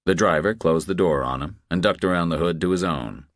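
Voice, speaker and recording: A man's voice: low-pitched, a little fast, no echo, clean studio sound. A man reading scripted thriller narration as an audiobook narrator.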